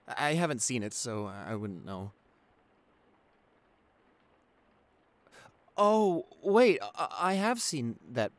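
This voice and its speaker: A man acts out a shy young boyfriend in an intimate audio roleplay. He is anxious and soft-spoken, stammering and hesitating as he talks, with a medium-pitched voice. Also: lightly acted. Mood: shy, musing. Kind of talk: boyfriend ASMR roleplay acting.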